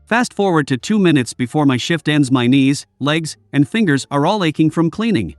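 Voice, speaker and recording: A man's voice: a little high, lively intonation, normal speed, no room sound. Man narrating over faint music. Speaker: narrator reading online posts. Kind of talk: read-aloud story narration.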